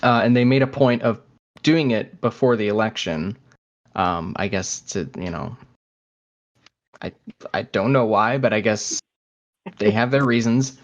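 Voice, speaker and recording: Low-voiced man chatting casually. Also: measured pace, pauses often, highs cut off. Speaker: podcast co-host. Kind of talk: casual chat.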